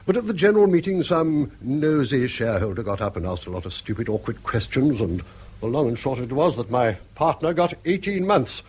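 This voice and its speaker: Blustering old British grandfather, husky, swooping. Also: medium pitch, expressive, brisk, a little mellow. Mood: indignant, self-justifying. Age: older. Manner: blustering, sardonic, garrulous. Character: bankrupt, blustering, needling old grandfather, unashamed and argumentative. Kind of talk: British radio drama acting.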